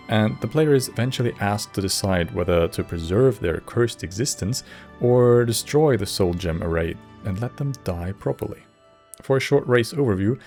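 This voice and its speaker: A man's voice: lowish voice, creaky, darkish tone. A man narrating as host of a video essay, scripted with casual asides.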